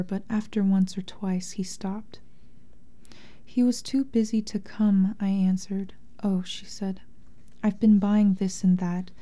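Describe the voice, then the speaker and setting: Soft, very mellow woman, evenly pitched. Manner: hesitant, thoughtful, measured. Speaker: interviewed author and translator. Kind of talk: reflective literary interview talk and reading aloud from a memoir.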